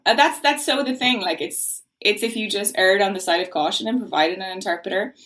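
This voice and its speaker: A woman's voice: mid-range voice, fairly quick, a bit bright. An Irish woman, a podcast guest and law researcher, explains at length in an earnest, casual way and often sounds frustrated. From Ireland. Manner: voices frustration. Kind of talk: casual interview talk.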